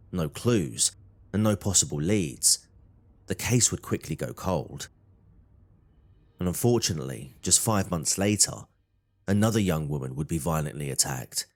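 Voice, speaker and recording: A man reading scripted true crime documentary narration. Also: sits low.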